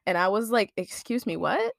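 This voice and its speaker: A woman's voice: mid-range voice, wildly swooping pitch, normal speed, creaky, no room sound, clean studio sound. A young woman with a strong Midwestern accent, co-hosting a podcast in a brash, joking, bossy manner. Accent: Midwestern American. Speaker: podcast co-host. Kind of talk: casual chat.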